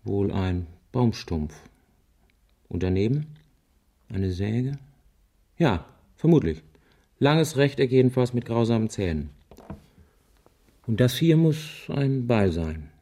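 An actor playing a wry, easygoing man in a German radio crime drama, thinking aloud methodically in a dry, deliberate way. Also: medium pitch, very animated, warm tone, exaggerated voice. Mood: pondering.

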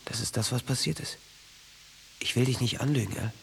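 Ein Schauspieler in einem Hörspiel spielt einen verträumten jungen, traumatisierten Soldaten, der in kurzen, schlichten Sätzen erzählt. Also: male voice, medium pitch, fairly quick, gravelly, a little hiss or hum, close to an everyday voice. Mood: hushed recounting. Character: dreamy young traumatized soldier who believes he can fly. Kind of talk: naturalistic German radio drama acting.

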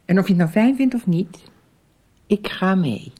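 An actress playing a worried, firmly insistent mother with a husky voice in a radio soap. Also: mid-range voice, lively intonation, normal speed, mellow. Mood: concerned, firm.

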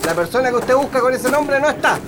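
An actor with a Chilean accent playing a curt, impatient military guard at a barracks gate in a radio drama. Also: male voice, very high-pitched, animated, fast talker, crowd noise, noisy background. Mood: impatient, dismissive. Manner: bossy, impatient.

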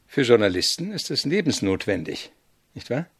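An actor playing a thoughtful, wry composer who loves mushrooms in a German radio drama, speaking in a gravelly, deliberate, politely teasing way. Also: male voice, medium pitch, sing-song. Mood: wryly amused. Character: thoughtful, wry male avant-garde composer and mushroom lover.

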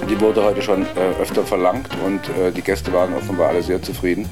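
A polite, wordy waiter, acted out by a man in a comic radio tale. His voice is fairly low, with plucked-string music prominent behind it. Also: level tone, brisk, natural voice. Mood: courteous. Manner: courteous, salesman-like, hesitant fillers. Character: polite, wordy waiter recommending the day's dish.

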